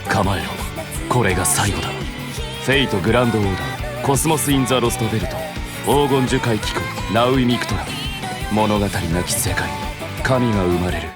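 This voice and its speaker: An actor playing a grave warrior issuing a final challenge in a game trailer, with loud music. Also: mid-range voice, expressive, racing, natural voice. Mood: grave, challenging. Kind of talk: dramatic game trailer voice acting.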